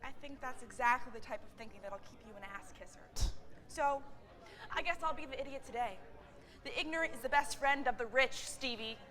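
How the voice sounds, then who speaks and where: Woman playing a sardonic manager, high bright voice. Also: a little fast, some chatter behind. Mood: scornful, suspicious. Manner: sardonic, profane. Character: suspicious, sardonic, defiant factory manager. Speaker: actor in a staged reading. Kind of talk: staged play reading.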